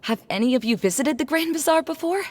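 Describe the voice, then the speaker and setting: A weary young scholar. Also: female voice, sits high, lively intonation, fast talker, a little mellow, a little hiss or hum. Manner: wistful, subdued. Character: weary young woman scholar running a fair. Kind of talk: video game voice acting.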